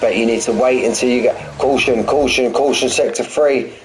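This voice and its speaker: Man, forties, sim racing commentator, casual banter with chat, repeats himself, mid-pitched voice. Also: moderate pace, low-quality recording. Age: 40s. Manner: chatty, jokey, trails off and repeats himself. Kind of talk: live race commentary mixed with banter with chat.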